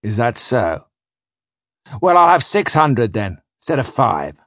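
A gruff sea captain, performed by a male narrator, bullying and quick-tempered. His delivery swings widely and often climbs into a bark, recorded close and dry for an audiobook. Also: medium pitch, big pitch swings, fairly bright tone, dry recording. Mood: grudging, suspicious. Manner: growling, barking, bullying. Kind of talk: dramatised audiobook dialogue.

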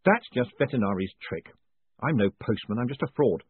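A man's voice: medium pitch, animated, a little fast, husky, dry recording. A man voicing a shaken, protesting con-man in a fantasy audiobook, urgent, faltering and exasperated. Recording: muffled phone-like audio.

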